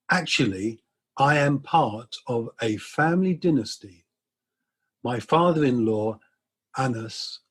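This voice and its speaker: A man reading a dramatic monologue as a proud, scheming high priest, self-important and matter-of-fact, with a husky voice. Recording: video call.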